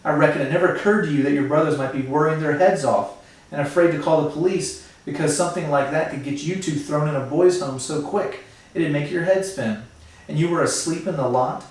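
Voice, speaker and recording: A man reading aloud and voicing an angry, stern older brother who shouts and scolds, in an echoey room far from the mic. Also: a little high, a little fast, lightly acted. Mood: scolding.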